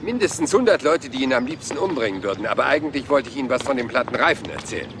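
Male actor as rumpled, deferential inspector; animated delivery, noisy dubbed track. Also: medium pitch, rapid-fire, close to an everyday voice. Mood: polite. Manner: polite, meandering, deferential. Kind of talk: dubbed TV crime drama.

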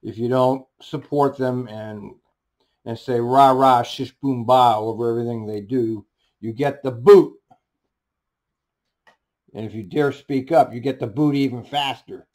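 A man's voice: medium pitch, steady tone, a little slow, darkish tone. A scornful man talks over a meeting he is watching. He mocks what he hears and says he can't make sense of it. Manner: scornful, mocking, swears, laughs derisively. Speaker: commentator mocking a recorded meeting. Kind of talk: sarcastic running commentary.

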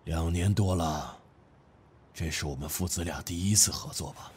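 An actor playing a stern older father in an audio drama, speaking in a measured, weighty way. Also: sits low, a little hiss or hum, close to an everyday voice. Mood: probing. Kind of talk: Chinese audio drama acting. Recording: background music under the dialogue.

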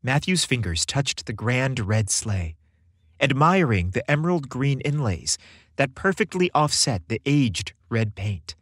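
A man narrating a scripted podcast story in an expressive, audiobook-like way. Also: medium pitch, unhurried, slightly rough, no echo, studio quality.

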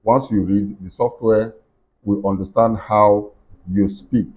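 A middle-aged man with a deep, low voice talks viewers through software at his desk. He explains step by step, often pausing on fillers and restarting his sentences. The recording sounds slightly dull and lo-fi, picked up by a computer mic. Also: fairly even intonation, slightly rough. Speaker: tech tutorial host. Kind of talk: screen-recorded software walkthrough. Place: indoor room at a computer desk.

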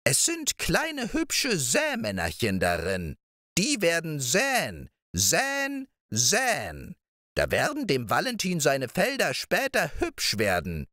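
A man's voice: a little high, wildly swooping pitch, slow, pushed and bright, no echo, studio quality, pitched above the performer's own voice, heavily acted. A man voicing a wheedling old witch of the moor in a storybook reading, coaxing and sing-song. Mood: whispering glee.

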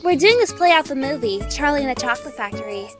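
A woman's voice: sits high, expressive, quick pace, music in the background. A woman voicing a sassy, sarcastic teenage girl in a cartoon parody, with a snarky manner. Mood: matter-of-fact. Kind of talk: fan parody cartoon dub.

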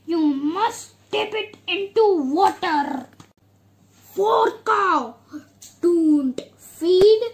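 A woman voicing a wise, calm puppet friend who gives instructions in a measured manner. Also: extremely high pitch, heavily acted. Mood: firm.

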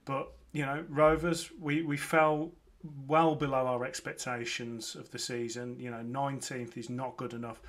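A man's voice: mid-range voice, little pitch movement, moderate pace, fairly bright tone. Chatty man with a Birmingham accent. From Lancashire. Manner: chatty, enthusiastic, frequent fillers and restarts. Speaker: football podcast host. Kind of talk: casual match reaction monologue. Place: home room with framed shirts, indoors.

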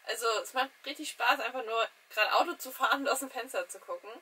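A woman's voice: fairly high pitch, fast, pushed and bright, echoey room. A woman in her twenties talking casually to camera as a travel vlogger, explaining and chatting. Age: 20s. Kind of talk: casual vlog chat and explanation. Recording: noisy camera recording with background ambience.

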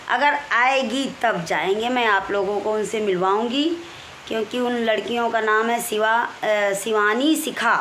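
A woman in her forties with a Kanpur Hindi accent, hoarse and husky, chatting in a rambling way into a phone held close in a small room at home, clearing her throat often. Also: a little high, a bit bright, a little hiss or hum, rough recording. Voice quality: husky, strained voice. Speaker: vlogger. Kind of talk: casual home vlog. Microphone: phone camera held close, selfie framing.

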